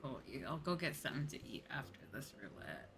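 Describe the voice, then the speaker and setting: A woman's voice: a bass voice, very animated, quick pace, vocal fry, music in the background. A woman chatting casually on a livestream while she plays a game, musing aloud and repeating herself. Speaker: livestreamer playing an online game.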